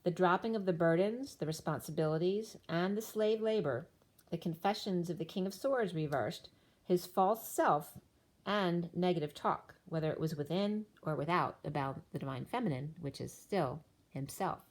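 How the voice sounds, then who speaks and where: A woman's voice: fairly low pitch, normal speed. A woman doing a spiritual tarot reading, running through cards and numbers in a flowing, incantatory way, her voice wearing thin. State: losing her voice. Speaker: tarot reader.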